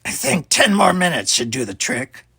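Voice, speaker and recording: A man's voice: high voice, wildly swooping pitch, moderate pace, gravelly, sharp tone, breathy edge, dry recording, studio quality, voice pushed up, heavily acted. A man voicing a triumphant female alien trader, a villain in a storybook reading.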